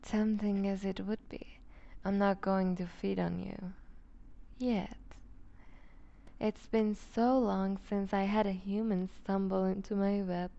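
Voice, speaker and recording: A woman playing a seductive, possessive vampire duchess in an ASMR roleplay, speaking with deliberate, teasing condescension and breaking into whispers. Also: medium pitch, flat delivery, vocal fry, warm tone, dull audio. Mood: amused, predatory. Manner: slow, deliberate, purring condescension with whispered phrases. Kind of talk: ASMR roleplay audio drama.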